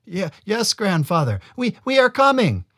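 A man's voice: huge pitch swings, normal speed, pushed and bright, no room sound, clean studio sound, heavily acted. A man voicing a nervous young brother who stammers in a raised voice. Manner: stammering, high and anxious.

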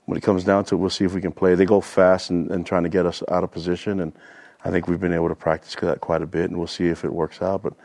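A man's voice: sits low, little pitch movement, quick pace, vocal fry, darkish tone, dry recording. A man speaking as a football coach, giving casual, matter-of-fact interview answers.

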